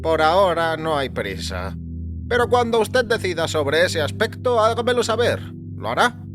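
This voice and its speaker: A man playing a male doctor, raised pitch, formal, matter-of-fact, detached, audio story, clear music underneath. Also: big pitch swings, normal speed. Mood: brisk, detached. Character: calm, self-assured male doctor, clinically detached with patients. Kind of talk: audio fiction acting.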